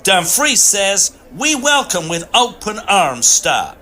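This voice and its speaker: Man playing a high, sharp, fussy Victorian assistant. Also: big pitch swings, slow, full character voice. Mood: fussy, anxious. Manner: precise, officious, formal. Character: fussy, formal Victorian assistant reading out telegrams. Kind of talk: period comedy audio drama acting.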